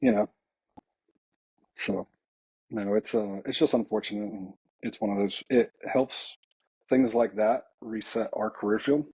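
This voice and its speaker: A man's voice: fairly low pitch, fairly even intonation, a little slow, long pauses, darkish tone, husky, no room sound. An American man in casual podcast conversation, talking as an air traffic controller in an informal, hesitant, reflective way. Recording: slightly dull, lo-fi voice track.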